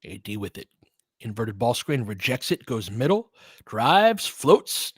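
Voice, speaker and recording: A man's voice: medium pitch, very animated, measured pace, slightly rough, no room sound. Casual male basketball play-by-play, dry close sound. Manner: clipped phrases, conversational fan-like asides. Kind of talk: casual live game commentary.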